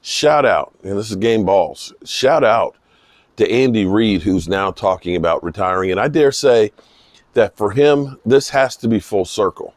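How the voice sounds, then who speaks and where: A man with a slightly hoarse voice giving unscripted, emphatic sports commentary, pausing mid-sentence for emphasis. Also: lowish voice, no room sound, clean studio sound. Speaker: football vlogger giving post-game reaction. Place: outdoors in misty rain.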